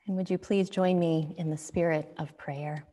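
A woman in her thirties, a minister, leading a measured, solemn spoken prayer. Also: deep voice, fairly even intonation, mellow. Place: church sanctuary. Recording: long church reverb.

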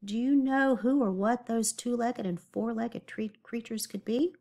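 A woman teacher reading a picture book aloud to young children in an expressive, deliberate way, pausing to explain and ask questions. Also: fairly high pitch, creaky.

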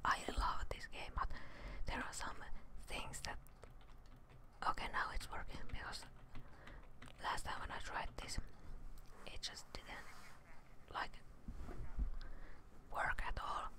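Young female, breathy whisper, ASMR gameplay commentary, hushed short phrases, close mic. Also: slow, long pauses. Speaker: streamer playing a horror video game. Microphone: close microphone in front of her, with headset.

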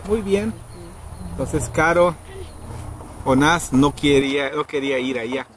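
A middle-aged man casually telling a story outdoors, acting out quoted people with sudden high pitched voices. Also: measured pace. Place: outdoors under trees in a rural yard. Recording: outdoor noise in background.

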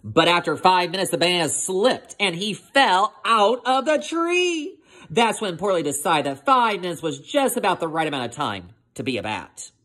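A woman reading a children's picture book aloud like a teacher, expressive and addressing her young listeners. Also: fairly low pitch, normal speed. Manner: expressive, asks listeners questions.

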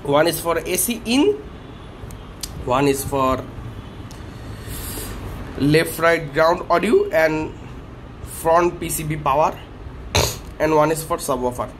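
A man hosting a hands-on tech teardown, speaking in short phrases with halting pauses. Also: on the high side, lively intonation, a little hiss or hum, low-quality recording. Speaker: tech video host. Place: small indoor workshop room.

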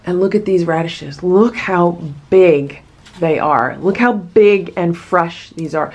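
Upbeat woman host with a somewhat low voice, chatting casually through an unboxing at home. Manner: enthusiastic, chatty, many asides. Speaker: vlogger unboxing a produce box.

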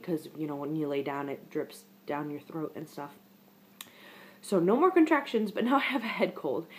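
A young woman with a congested head-cold voice, chatting to her webcam in a casual vlog update from her living room couch. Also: lowish voice. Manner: chatty, rambling, talks straight to viewers. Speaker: vlogger. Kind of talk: casual pregnancy update to camera.

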